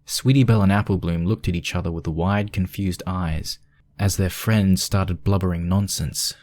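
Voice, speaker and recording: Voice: low voice, steady tone, normal speed, a little mellow. A narrator reading a scripted fan fiction story aloud.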